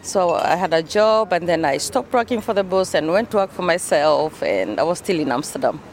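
A woman's voice: lowish voice, animated, normal speed. A woman in her forties with a Kenyan accent, chatting informally as an interview guest outdoors. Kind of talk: casual conversational interview. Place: outdoors on a bench in a busy city square. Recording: clear outdoor traffic and city noise. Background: traffic and city ambience.

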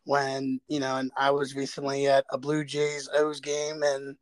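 A man's voice: mid-range voice, completely flat delivery, a little slow, smooth, dry recording. A man conducting a casual interview, agreeable, with loosely phrased questions and comments.

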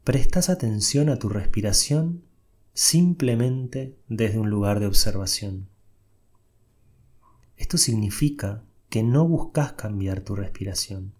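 A man leading a guided meditation, giving soft, unhurried instructions with long pauses. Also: lowish voice, expressive.

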